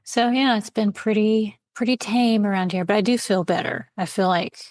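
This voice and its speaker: A woman hosting a writers' podcast in casual chat, friendly and quick to laugh. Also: mid-range voice, darkish tone, dry recording. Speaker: podcast host.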